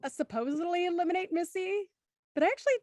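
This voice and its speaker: A woman with a high-pitched voice leads a casual group chat as host. The sound is close and dry, and the delivery is playful and conversational. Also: fast talker, darkish tone, dry recording. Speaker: host of a cast discussion panel. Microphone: close mic on a video call.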